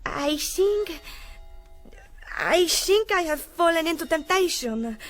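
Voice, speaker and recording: A woman's voice: extremely high pitch, animated, slow, fairly bright tone, faint music behind, full character voice. An actress playing an earnest, naive young Spanish woman in a radio drama, devout and a little breathless. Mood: hesitant, confessing. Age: young adult.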